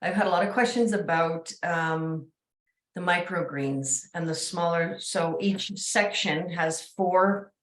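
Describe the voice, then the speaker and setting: A woman's voice: lowish voice, slow pace, fairly bright tone. A woman casually explaining things as she hosts a video call. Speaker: online meeting host. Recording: roomy, echoing video call sound.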